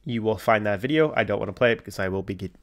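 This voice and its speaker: A man's voice: lowish voice, racing. Congested man hosting crypto chat. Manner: filler-heavy, loose, reads articles aloud. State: congested from a cold. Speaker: crypto video host. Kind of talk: casual market commentary to camera.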